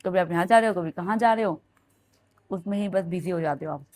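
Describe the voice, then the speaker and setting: A woman in her thirties from India, with a Punjabi lilt, talks casually as she vlogs her day at home. Her voice is hoarse and a little worn, and she drops English phrases in mid-sentence. The sound is a relaxed home kitchen recording. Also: on the low side, fairly quick. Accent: Punjabi-tinged Hindi. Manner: chatty, code-switches into English. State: hoarse throat. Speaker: vlogger. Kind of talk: casual vlog narration.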